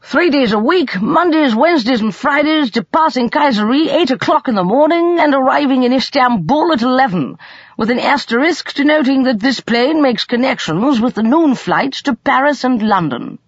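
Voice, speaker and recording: A woman's voice: sits high, animated, normal speed, no room sound, dull audio, pitched above the performer's own voice. A woman voicing a practical, firm older uncle in an audiobook novel, speaking earnestly and with emphasis. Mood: brisk, helpful.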